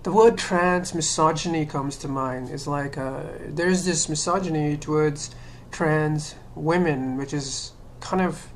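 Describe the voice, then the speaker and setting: A woman's voice: deep voice, measured pace, hardly any pauses, a little hiss or hum. A woman from Sri Lanka talking to camera in a casual monologue, hesitant, with many fillers and restarts. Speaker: vlogger.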